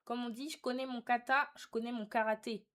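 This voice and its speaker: A woman's voice: a little high, steady tone, a little fast, a bit bright. Young woman, sassy live streamer. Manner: teasing, sassy, slangy. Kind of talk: casual live chat, reading and answering viewer comments.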